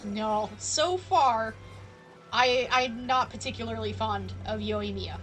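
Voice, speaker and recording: A woman's voice: fairly high pitch, slow pace, a bit bright, faint music behind, rough recording. A woman giving casual live-stream game commentary in a wry, sardonic, teasing manner.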